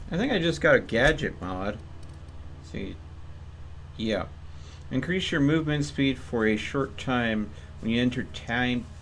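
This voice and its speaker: A man's voice: medium pitch, a bit bright, slight background noise. A man giving casual live commentary as he streams a game, musing to himself as he goes.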